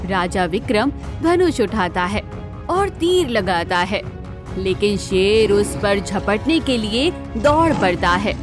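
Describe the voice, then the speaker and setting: A woman narrating a scripted storybook tale. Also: medium pitch.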